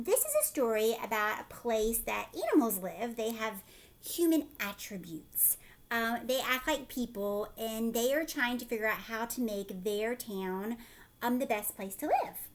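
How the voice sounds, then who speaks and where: Expressive high-pitched woman reading to children. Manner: expressive, questioning, explanatory. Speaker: teacher reading aloud to children. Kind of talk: picture-book read-aloud with asides.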